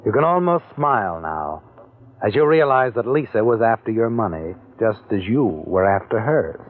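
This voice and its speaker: Insinuating male narrator, lively delivery, tinny vintage radio. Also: on the low side, normal speed. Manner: insinuating, addresses the listener as "you". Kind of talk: second-person suspense narration. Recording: tinny old radio broadcast with music.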